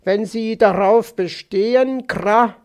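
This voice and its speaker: A man's voice: sits high, slow, darkish tone, clean tone, voice pushed up. A man voicing a formal, baffled male raven in a storybook, drawing out words and punctuating them with caws. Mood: obliging. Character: male raven, formal, slow, baffled, croaks between phrases.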